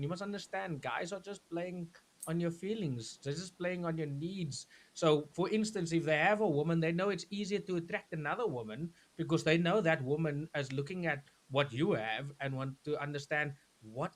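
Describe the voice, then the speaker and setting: A man hosting a live talk show, who speaks loudly and chattily with many restarts. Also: on the high side, moderate pace. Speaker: host. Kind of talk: casual discussion on a live show.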